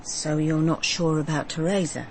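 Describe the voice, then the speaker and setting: A woman's voice: low voice, fairly even intonation, normal speed, darkish tone, a little hiss or hum, rough recording. An actress playing a composed, probing female detective in a first-person radio crime drama.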